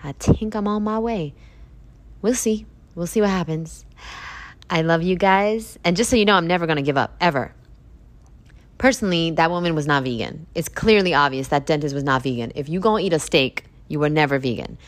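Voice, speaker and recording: A woman in her thirties hosting a podcast in a casual monologue, blunt and profane, preaching at her listeners and jumping into high emphatic peaks. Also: brisk. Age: 30s. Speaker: podcast host.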